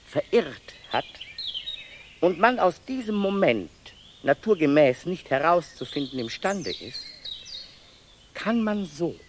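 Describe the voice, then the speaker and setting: A man's voice: fairly high pitch, slight background noise. An actor playing a pedantic male asylum inmate in a radio play, talking in a meticulous, lecturing way. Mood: musing. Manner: meticulous, lecturing, precise and detailed. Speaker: patient narrating his own thoughts.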